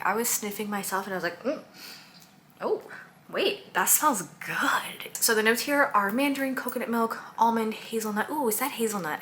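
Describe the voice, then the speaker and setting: A woman's voice: medium pitch, sharp tone, slight background noise. A woman chatting casually about perfumes she bought, gushing and listing scent notes with lots of fillers. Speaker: perfume content creator. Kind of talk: casual haul review.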